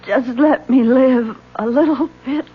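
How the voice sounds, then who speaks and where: Casting: terrified dying wife in vintage radio drama, husky, slow, begging delivery, thin lo-fi sound. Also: female voice, high voice. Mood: desperate pleading. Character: frightened dying wife pleading for her life. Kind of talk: 1970s radio drama acting. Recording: muffled, phone-like old radio recording.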